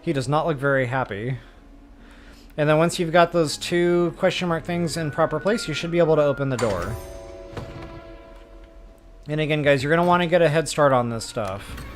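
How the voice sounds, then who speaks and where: A man with a somewhat high voice talks through a video game as he plays. He is informal and folksy, working things out aloud, with music playing lightly underneath. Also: normal speed, long pauses. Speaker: game walkthrough host. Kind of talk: casual gameplay commentary, explaining as they play. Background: game music behind the voice.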